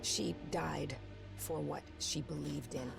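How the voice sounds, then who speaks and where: A man playing a grave, sorrowful relative speaking to a child in a dramatic game cutscene, with a gravelly voice. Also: on the high side, steady tone, takes it slowly, over loud music, exaggerated voice.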